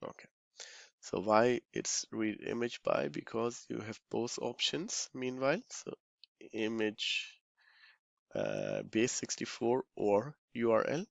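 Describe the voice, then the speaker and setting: A man giving an informal software walkthrough, halting and thinking aloud, often murmuring to himself as he works. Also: medium pitch, sing-song, vocal fry, no room sound, muffled recording. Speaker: software tutorial instructor.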